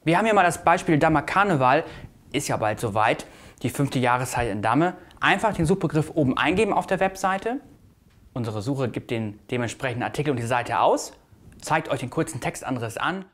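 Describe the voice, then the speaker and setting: A young man explains things to viewers in a semi-casual presenting style. His voice is medium in pitch. He talks as a staff presenter giving a short walkthrough. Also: expressive, fairly quick, a bit bright. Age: young adult. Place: indoor video studio. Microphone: lapel mic.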